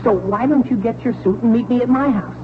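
A man's voice: very high voice, brisk. Impatient, whiny teenage boy, old radio. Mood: hurried. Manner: whiny, exclamatory. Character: impatient, put-upon teenage boy, earnest and excitable. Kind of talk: 1940s radio sitcom acting. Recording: tinny, very noisy 1940s radio recording.

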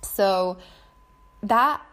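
A young woman who is not a native English speaker, hosting her own podcast in a casual, chatty way, full of fillers and quick laughs. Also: mid-range voice, pushed and bright. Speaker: podcast host. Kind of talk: casual solo podcast talk.

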